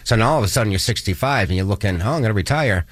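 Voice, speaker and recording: Deep-voiced middle-aged guy laying out retirement math step by step, dry close mic. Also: lively intonation, racing, dry recording. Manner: explanatory, builds examples step by step. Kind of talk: casual radio chat.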